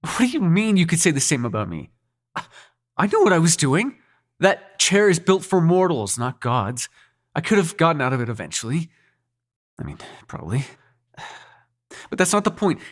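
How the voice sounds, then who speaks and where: A man's voice: fairly high pitch, very animated, normal speed, long pauses, no room sound, clean studio sound. A man playing a cocky, sarcastic demon prince with a tender side in an intimate audio roleplay, speaking casually with teasing and swearing. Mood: flustered, defensive. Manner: casual, sweary, teasing, hesitant when sincere.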